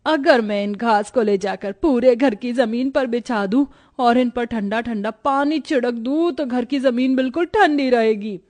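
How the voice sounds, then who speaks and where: A woman's voice: a little high, measured pace, hardly any pauses, a little mellow, close to an everyday voice. A woman playing a naive, thrifty young village bride in a comic cartoon moral story. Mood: fretful.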